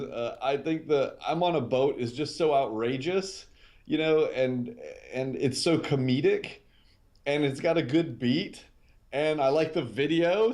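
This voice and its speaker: Laid-back middle-aged man on a video call. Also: mid-range voice. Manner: relaxed, modest, laughs easily, hesitant with fillers. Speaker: guest. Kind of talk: casual interview chat. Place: small office room.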